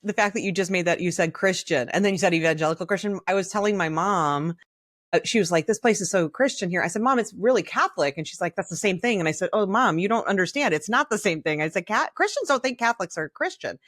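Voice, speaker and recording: An American woman, a podcast co-host, chatting casually and telling stories in a candid, animated way. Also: mid-range voice, quick pace, no echo, studio quality. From Saint Louis, American Midwest. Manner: animated, candid storytelling with quoted dialogue and casual swearing. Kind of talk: casual chat.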